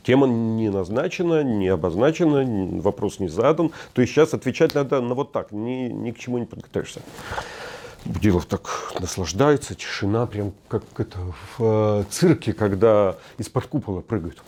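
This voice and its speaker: A man's voice: lowish voice, expressive, moderate pace, mellow. A man in his fifties hosting a scripted commentary monologue from a hotel room, wry, ironic and reflective. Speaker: host.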